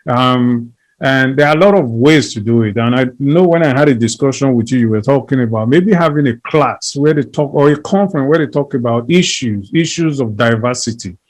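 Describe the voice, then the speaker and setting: Man, interview guest, earnest, emphatic, repetitive list-building, middle pitch. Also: a little fast. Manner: emphatic, repeats phrases, builds lists. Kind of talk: earnest conversational advocacy.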